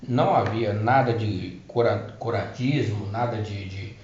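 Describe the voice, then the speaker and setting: A man's voice: fairly low pitch, darkish tone. A middle-aged man telling viewers about his spiritual treatment, speaking off the cuff with many restarts. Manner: unscripted, halting with restarts. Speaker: spiritual healing presenter. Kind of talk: personal testimony to viewers. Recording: roomy, dull lo-fi sound with faint noise.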